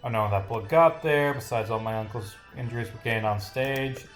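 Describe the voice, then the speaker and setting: A man's voice: mid-range voice, a little fast, soft background music, close to an everyday voice. A man voicing a defensive, evasive young male stage performer from a visual novel, protesting under questioning.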